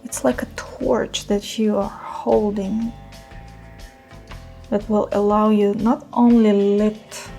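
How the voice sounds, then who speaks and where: Slow, mellow woman guiding a meditation. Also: medium pitch, little pitch movement, creaky, soft background music. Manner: soothing, unhurried, gentle pauses. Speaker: meditation guide. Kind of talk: guided meditation.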